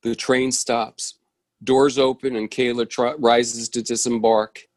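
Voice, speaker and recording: A man's voice: mid-range voice, level tone, slow, no echo, clean studio sound. A man giving scripted narration as the reader of a play's stage directions.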